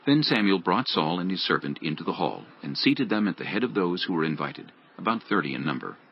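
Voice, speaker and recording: A man's voice: sits low, sing-song, a little fast, husky. A man reading scripted dramatic narration for an audio Bible. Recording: dull lo-fi audio with music. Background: background music.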